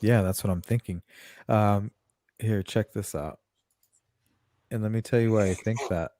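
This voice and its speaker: A woman's voice: a bass voice, steady tone, unhurried, dark tone, no echo. A woman hosting a casual livestream chat, thinking aloud as she goes. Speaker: host.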